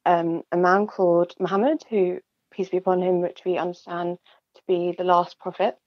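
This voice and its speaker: A woman speaks as a podcast guest, relaxed and measured, with an easy giggle. Her voice is low for a woman. It comes through a slightly muffled remote line with a dry, close sound. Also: dry recording. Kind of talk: casual conversational interview.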